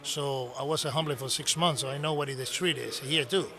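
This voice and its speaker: A middle-aged man from Cuba with a Cuban Spanish accent, telling stories in animated broken English as a podcast guest. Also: medium pitch, a little fast, a crowd in the background. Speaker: podcast guest, cigar business owner. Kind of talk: casual storytelling conversation.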